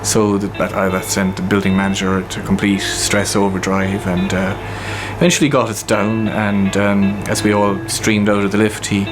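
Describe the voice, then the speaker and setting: A man's voice: fairly low pitch, flat delivery, normal speed, hardly any pauses, music in the background. A man being interviewed, telling anecdotes in a conversational way. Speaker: poet and literature centre director being interviewed. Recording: clearly noisy, ambient hum and vehicles.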